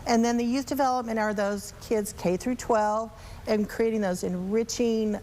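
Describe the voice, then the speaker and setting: A woman's voice: a little high, fairly even intonation, moderate pace, a little mellow, slight background noise, low-quality recording. A woman speaking as a nonprofit executive in a TV interview, explaining things in an organized, polished way. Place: TV studio.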